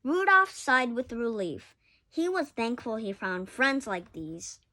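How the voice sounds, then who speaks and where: A woman's voice: on the high side, very animated, slow pace, sharp tone. A woman reading a children's picture book aloud expressively, with occasional stumbles and self-corrections. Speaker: story reader.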